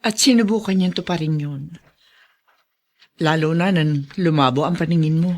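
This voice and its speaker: An actress playing a frank, supportive friend who speaks directly and emphatically in a TV melodrama. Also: female voice, low-pitched, normal speed. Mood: consoling. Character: frank, supportive woman friend consoling a mother. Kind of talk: TV melodrama acting.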